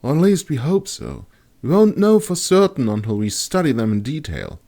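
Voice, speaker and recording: A man voicing a knowledgeable woman astrologer in a fantasy audiobook, explaining things in a measured, assured way. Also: a little high, big pitch swings, warm tone, pitched above the performer's own voice. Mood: assured, hopeful.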